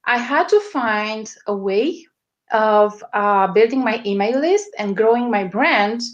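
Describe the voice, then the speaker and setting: A woman with a strong Romanian accent answering interview questions, often pausing on fillers as she searches for words. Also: on the high side, expressive, highs cut off. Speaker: guest, media strategist.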